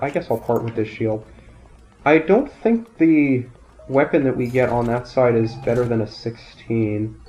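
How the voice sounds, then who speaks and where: A man calmly commentating his gameplay, thinking aloud, his voice nasal and raspy from a sinus infection. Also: mid-range voice. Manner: calm, explanatory, thinking aloud. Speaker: let's play commentator. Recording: dull lo-fi audio, faint game music.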